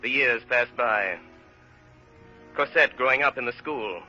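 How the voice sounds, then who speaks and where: A man narrating a period saga in 1930s radio drama style, solemn and measured, with dramatic pauses. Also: medium pitch, sharp tone. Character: grave storyteller narrating a French period saga. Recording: muffled old radio broadcast, music behind.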